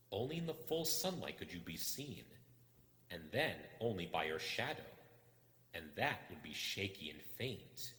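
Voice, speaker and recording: A man narrating a suspenseful story in a deliberate, theatrical manner. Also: deep voice, fairly bright tone. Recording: echoey room with long reverb.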